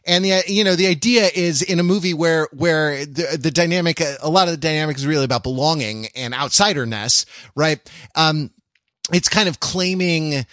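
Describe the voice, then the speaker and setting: A man co-hosting a podcast, talking casually about film in a halting, rambling way with repeated and restarted phrases. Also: fairly high pitch, a bit bright, no echo, clean studio sound. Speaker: podcast co-host.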